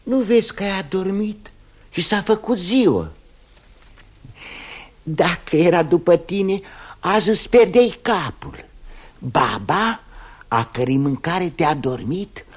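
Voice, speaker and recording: A husky crab emperor. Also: male voice, high-pitched, big pitch swings. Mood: earnest. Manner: earnest, explaining, warm-hearted. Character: kindly emperor of the crabs, grateful helper. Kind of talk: 1960s radio drama acting.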